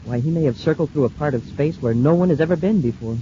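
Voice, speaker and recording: An actor playing an eager, earnest young space cadet sidekick, deferential and excitable, in an old-time radio serial. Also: male voice, a little high, fast, a little hiss or hum, natural voice. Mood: curious, concerned. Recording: muffled old radio recording.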